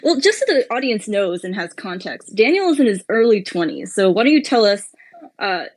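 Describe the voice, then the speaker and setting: A woman hosts a live audio interview in a plain, mid-pitched voice. She asks her questions in a friendly, easygoing way and laughs often as she goes. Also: big pitch swings, a little fast. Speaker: interview host.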